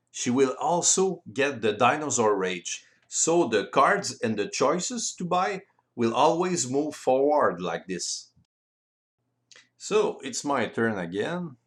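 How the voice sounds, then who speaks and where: A man with a French accent, a native French speaker, giving a careful, deliberate tutorial voice-over on board game rules in non-native English. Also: medium pitch.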